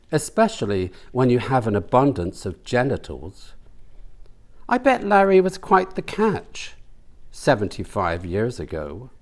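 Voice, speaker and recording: Male reader as older man, gossipy, wry, pedantic, lively pitch, storybook dialogue.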